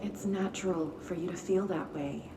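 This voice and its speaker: A woman's voice: on the low side, lightly acted. Actress as a clinical female psychiatrist, echoey room. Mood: composed, probing. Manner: measured, probing, detached. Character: calm, clinical female psychiatrist questioning a patient. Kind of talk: video game cutscene acting. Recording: roomy, distant, noisy.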